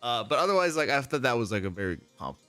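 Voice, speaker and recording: A man telling lively anecdotes in a casual interview chat, laughing often and acting out the people in his stories. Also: mid-range voice, rapid-fire, soft background music. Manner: animated storyteller, laughs often, acts out people he quotes.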